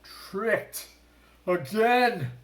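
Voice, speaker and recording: A man voicing a greedy, raging male shark king in a storybook reading, with drawn-out shouts and hissed threats. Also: lively intonation, heavily acted. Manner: booming, drawn-out shouts and menacing whispers.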